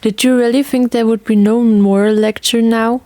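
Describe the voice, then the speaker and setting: A woman voicing a stern but caring old grandfather in an audio story, measured and lecturing. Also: on the high side, fairly even intonation, dark tone, dry recording, close to an everyday voice. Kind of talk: audio story character acting.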